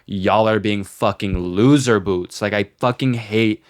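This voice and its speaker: A man's voice: lowish voice, a little slow, no room sound, studio quality. A young man co-hosting a podcast in casual chat, talking in slangy, profane, exaggerated language. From small town. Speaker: podcast co-host.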